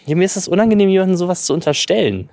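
A man's voice: high voice, expressive, very fast, darkish tone, no echo. A man, chatting casually in a self-deprecating, hesitant way. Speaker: podcast guest telling a personal story. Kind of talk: casual chat.

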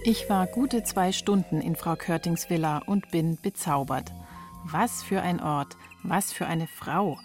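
A woman's voice: on the low side, measured pace. A woman reporter reading scripted first-person narration for an audio feature. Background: music.

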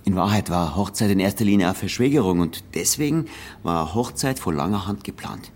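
A man's voice: low voice, animated, brisk, a little mellow, slight background noise. An actor playing a dry, knowing village man who makes pronouncements in a radio drama.

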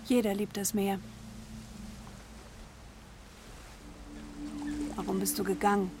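A woman's voice: mid-range voice, little pitch movement, fast, background noise, natural voice. An actress playing an exasperated middle-aged wife and mother in a naturalistic radio drama, curt and reproachful. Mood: sceptical. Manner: curt, questioning.

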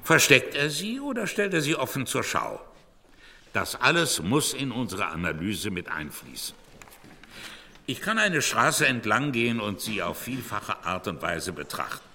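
A bright-voiced, coldly detached architect lectures in a radio drama. His delivery is deliberate and rhetorical, with lively pitch swings in a long hall reverb. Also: full character voice. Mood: cold, fascinated. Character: coldly analytical male architect lecturing on violence.

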